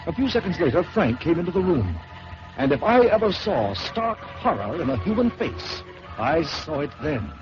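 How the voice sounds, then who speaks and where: A man hosting an old radio storytelling show, reading a ghost story aloud in a measured, theatrical way. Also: a little high, big pitch swings, over music. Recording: muffled old radio broadcast with hiss.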